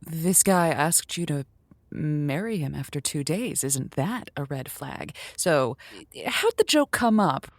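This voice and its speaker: A woman's voice: deep voice, expressive, slow pace, dry recording, full character voice. A woman playing a perky, folksy radio advice host, effusive, earnest and reassuring, in improvised comedy.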